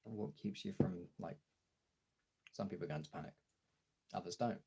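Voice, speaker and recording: A man chatting casually as a streamer, thinking aloud haltingly as he searches for words and trails off. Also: low-pitched, creaky, mellow, a little hiss or hum.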